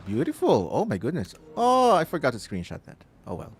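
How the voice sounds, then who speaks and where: A man's voice: mid-range voice, wildly swooping pitch, a little fast. A man doing casual live commentary on a game playthrough, sometimes reading dialogue aloud. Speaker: gaming streamer.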